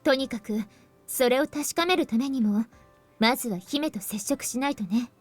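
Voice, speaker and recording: A woman's voice: high voice, quick pace, soft background music. A woman playing a composed young female team leader in a Japanese visual novel, explaining things politely and with purpose. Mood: composed, purposeful.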